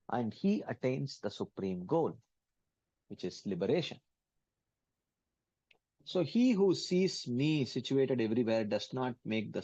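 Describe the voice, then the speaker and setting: A man leading an online scripture study class. He chants verses in Sanskrit, then explains them in English in a halting way, with many fillers and restarts. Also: medium pitch, a little mellow, dry recording. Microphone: computer mic on an online call. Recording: dull, dry lo-fi call audio.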